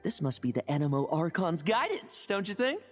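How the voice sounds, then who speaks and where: A woman's voice: on the low side, big pitch swings, moderate pace, long reverb tail, faint music behind. A woman playing a cheeky, evasive young knight in a game, with a breezy, glib manner. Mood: scheming, playful. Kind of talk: game voice acting.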